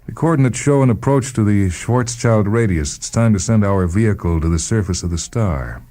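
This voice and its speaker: A man voicing an indifferent, tentacled alien crewmate in a science fiction story, with formal, deliberate phrasing. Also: fairly low pitch, lively intonation, mellow, pitched above the performer's own voice, natural voice. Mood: detached, formal.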